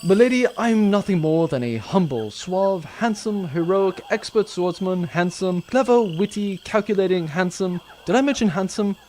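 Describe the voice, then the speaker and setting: A man's voice: high-pitched, animated, normal speed, few pauses, music in the background. A man playing a suave, self-admiring space privateer captain in a comic audio drama, with theatrical delivery. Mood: boastful.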